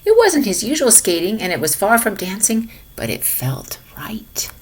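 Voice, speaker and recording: A woman reading a children's picture book aloud in an expressive manner, sometimes dropping to hushed tones. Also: mid-range voice, moderate pace, slight background noise. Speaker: storybook reader for a children's lesson.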